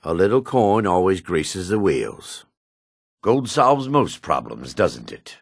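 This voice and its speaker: An actor playing a gruff, suspicious middle-aged dark elf man in a fantasy video game, raspy and curt with a wary, sneering edge. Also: low voice, sing-song, slow, dry recording, studio quality, exaggerated voice. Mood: calm, dry. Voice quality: gravelly, raspy. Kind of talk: video game character barks.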